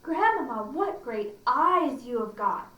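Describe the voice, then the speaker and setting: An innocent little storybook girl acted by a woman speaks in a high, swooping, sing-song voice. She sounds naive and wide-eyed, in an echoing room a little way from the mic. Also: very animated, takes it slowly, recorded from a distance, lo-fi sound, exaggerated voice. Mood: astonished.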